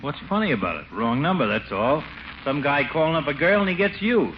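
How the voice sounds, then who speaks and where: Male actor for a slangy, wisecracking American buddy, animated, 1940s radio comedy, muffled noisy recording. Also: fairly high pitch, brisk, close to an everyday voice. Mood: breezy. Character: wisecracking, teasing small-town American man, prankish pal.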